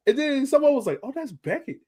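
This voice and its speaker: A man's voice: very high voice, very animated, fast talker, warm tone. A man hosting a casual soccer podcast, chatting in a slangy, informal way. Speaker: podcast host.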